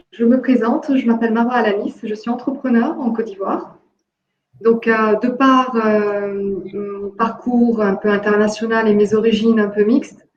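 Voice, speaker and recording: A woman from Jordan with no accent, speaking haltingly with many fillers and restarts in an online discussion workshop. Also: mid-range voice, a little mellow, a little hiss or hum. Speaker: workshop participant, entrepreneur. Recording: echoey, dull lo-fi call audio.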